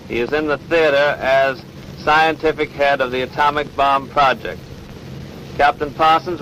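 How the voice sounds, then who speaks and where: A man's voice: fairly high pitch, fairly bright tone. American male newsreel interviewer, formal 1940s delivery, lightly noisy vintage field recording.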